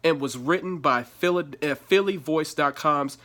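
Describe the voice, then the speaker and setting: Male vlogger, slightly high voice, congested, blunt and profane opinion delivery. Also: moderate pace, sharp tone. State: stuffy with allergies. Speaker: sports commentary vlogger. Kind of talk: casual opinionated commentary reading an article.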